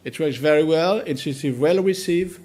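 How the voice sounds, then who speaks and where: Halting non-native male conference speaker. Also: on the high side, darkish tone. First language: not English. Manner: halting, repeats words while searching for phrasing. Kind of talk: conference presentation.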